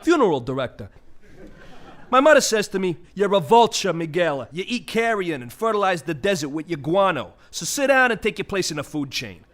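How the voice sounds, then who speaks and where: High, bitter wisecracking vulture. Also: male voice, sing-song, brisk, a bit bright. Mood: aggrieved ranting. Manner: sarcastic, ranting, theatrical. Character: bitter, wisecracking male vulture with thwarted ambitions. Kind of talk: comic audio play acting.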